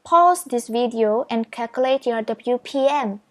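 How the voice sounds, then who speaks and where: Woman, Vietnamese first language, speaking English. Slow, patient, encouraging instructor with a higher voice. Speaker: speed reading instructor. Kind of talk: step-by-step tutorial.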